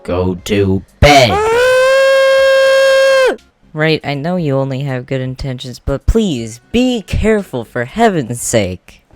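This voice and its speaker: Guy doing a gruff loyal second-in-command in a cartoon dub, forceful but caring, music behind. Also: mid-range voice, sing-song, slow, dry recording. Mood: firm, pleading. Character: gruff, protective right-hand man, firm and caring. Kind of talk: amateur comic dub acting.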